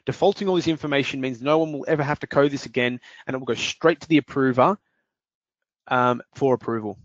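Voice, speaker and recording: A man presenting a screen-share software demo, explaining each step in a steady way with occasional fillers. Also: mid-range voice, fast, dry recording, highs cut off.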